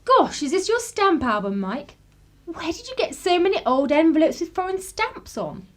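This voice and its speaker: A woman's voice: extremely high pitch, big pitch swings, moderate pace. An actress playing a polite, chatty, inquisitive English woman visitor in a radio drama, with a genteel manner and an English accent. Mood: politely probing.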